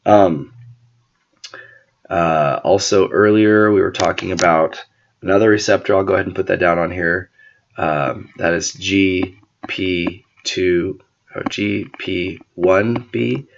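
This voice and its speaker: A man who is sick and losing his voice gives an informal whiteboard lecture, often clearing his throat and using filler words. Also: low-pitched, slow, highs cut off, a little hiss or hum. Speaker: teacher explaining a medical topic.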